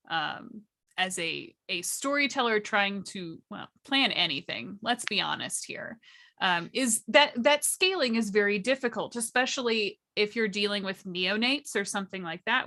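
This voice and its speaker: A woman's voice: mid-range voice, bright, forceful tone. A woman giving casual, articulate explanations as a workshop panelist, with a wry conversational manner.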